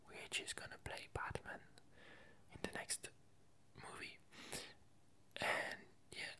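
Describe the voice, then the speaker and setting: Slow, whispering ASMR woman. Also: pushed and bright. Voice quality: breathy whisper. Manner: slow, halting, with long pauses. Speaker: ASMR video creator. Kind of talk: whispered ASMR casual chat.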